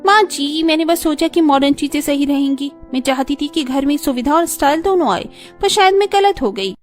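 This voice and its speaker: A woman doing a shrill, whiny young daughter-in-law. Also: animated, a little fast, faint music behind. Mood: meek. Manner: whiny pleading, high and shrill. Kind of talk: cartoon voice acting.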